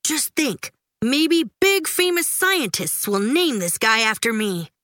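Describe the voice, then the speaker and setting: A woman playing an eager young boy in a storybook, earnest and raised in pitch. He chatters about his experiments in a bright, lively voice, close and dry. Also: slow pace, dry recording, heavily acted. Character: curious seven-year-old boy, science nut.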